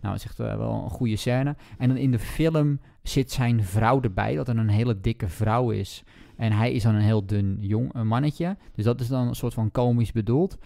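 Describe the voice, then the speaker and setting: A man's voice: medium pitch, normal speed, creaky, hardly any pauses, mellow, no room sound, studio quality. A man, co-hosting a casual book talk, hesitant and often repeating and correcting himself. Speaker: book podcast co-host. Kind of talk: casual book and film discussion.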